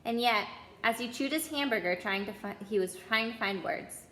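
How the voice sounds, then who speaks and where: A woman reads a story aloud as a storyteller for children, with expressive narration. Her voice is fairly high and bright, and the room adds a noticeable echo. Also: normal speed, slight background noise.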